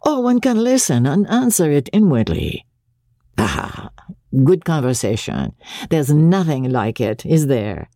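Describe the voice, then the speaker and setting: A woman's voice: low-pitched, sing-song, a little slow, dark tone, no room sound, studio quality, exaggerated voice. A woman voicing an eager young tutor, earnest, polite and philosophising. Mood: ardent. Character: eager, impecunious young Frenchman, an intellectual private tutor.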